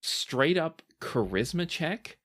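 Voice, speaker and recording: A man's voice: a little high, sing-song, a bit bright, slightly rough. Man narrating a tabletop game. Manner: descriptive, unhurried storytelling with dramatic pleading quotes. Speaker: game master.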